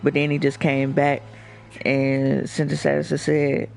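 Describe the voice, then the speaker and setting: A man's voice: a little high, completely flat delivery, a little slow, gravelly, darkish tone. A man chatting casually as a radio show co-host, telling stories in slangy, profane talk, with music playing behind. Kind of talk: casual chat.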